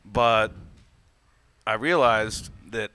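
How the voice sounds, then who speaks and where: A man's voice: on the low side, drawn-out words, pushed and bright, clear, resonant tone. A man, a stand-up comedian and father, telling a story hesitantly and correcting himself as he goes during a casual panel chat.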